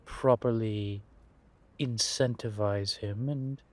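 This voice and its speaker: A man playing a centuries-old vampire spymaster in a roleplay audio drama, patient and wry, speaking in measured phrases with deliberate pauses. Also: fairly low pitch, fairly even intonation. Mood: assured.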